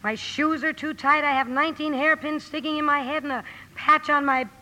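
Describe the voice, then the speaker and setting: A woman's voice: high voice, fairly even intonation, bright, forceful tone, a little hiss or hum. A woman acting a blunt, hearty tomboyish young woman, impatient with elegance, in a 1950s radio drama. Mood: brisk, grumbling. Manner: brisk, hearty, forthright. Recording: old radio broadcast, faint music behind.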